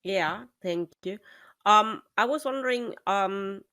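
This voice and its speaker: A European woman moderating a talk, asking questions conversationally and haltingly as she searches for words. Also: mid-range voice, sharp tone, no echo, studio quality. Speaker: interviewer, moderator.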